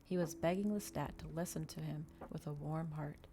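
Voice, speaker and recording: Low, mellow-voiced woman reading ASMR. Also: moderate pace, slight background noise. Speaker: streamer reading a novel aloud. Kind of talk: ASMR audiobook-style reading.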